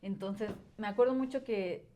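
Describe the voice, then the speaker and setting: A woman's voice: mid-range voice, normal speed, dark tone. A woman, a language educator and podcast guest, explaining at length in casual conversation with frequent filler words.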